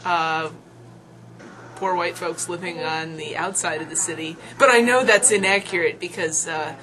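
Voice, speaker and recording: A woman's voice: on the low side, moderate pace, bright, forceful tone, rough recording. A woman of twenty-five answering interview questions casually, hesitant at first and then thoughtful.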